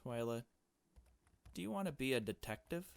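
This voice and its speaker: A man's voice: medium pitch, animated, normal speed, creaky, dry recording. A man voicing a curious, earnest small girl who narrates and asks questions in a visual novel game. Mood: probing. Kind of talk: visual novel dialogue read aloud.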